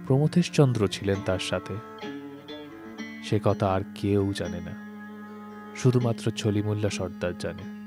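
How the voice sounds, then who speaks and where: A man narrating a literary story from a script, with measured, formal phrasing. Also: fairly low pitch, darkish tone. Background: plucked-string instrumental music.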